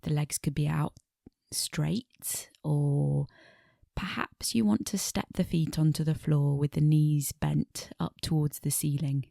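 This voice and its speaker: A woman meditation teacher guiding a body scan in a gentle, unhurried manner, pausing between short phrases, with soft phrase endings that fade toward breathiness. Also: deep voice, fairly even intonation, gravelly, no echo, studio quality.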